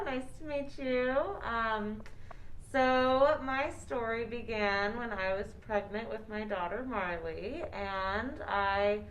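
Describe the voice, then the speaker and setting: A woman's voice: on the high side, a little hiss or hum, poor audio. A woman founder speaking as an interview guest in a casual, personal storytelling style.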